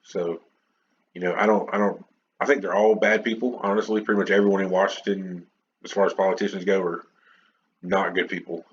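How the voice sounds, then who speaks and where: Deep-voiced rambling male podcast host. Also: flat delivery, a little fast, muffled recording, a little hiss or hum. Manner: rambling, opinionated, many restarts and fillers. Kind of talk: unscripted solo podcast chat.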